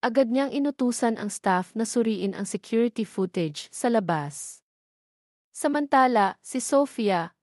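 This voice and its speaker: A woman's voice: on the high side, darkish tone, no echo, clean studio sound. A woman with a Filipino accent reading scripted narration for a romantic audio drama. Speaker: story narrator.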